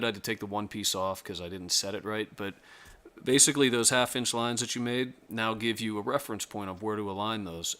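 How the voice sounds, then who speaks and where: A man hosting a casual woodworking tutorial in his workshop, relaxed and self-deprecating. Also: lowish voice. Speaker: woodworking host. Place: home woodshop.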